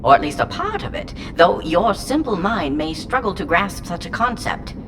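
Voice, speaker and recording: A woman's voice: on the low side, animated, moderate pace, natural voice. A woman voicing an ancient, whimsical and haughty alien entity inside a small robot in an audio drama, speaking in theatrical, rambling, pompous riddles. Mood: lofty, mocking. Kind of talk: full-cast audio drama acting. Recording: clear noise bed behind the voice.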